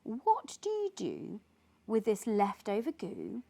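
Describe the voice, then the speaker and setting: A woman's voice: medium pitch, wildly swooping pitch, slow pace, gravelly, warm tone, exaggerated voice. A woman voicing an indignant, weary forest guardian creature who scolds in emphatic rhymes for a children's storybook. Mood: indignant scolding. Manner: emphatic, exclamatory, rhythmic rhyming delivery. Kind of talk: children's storybook read-aloud.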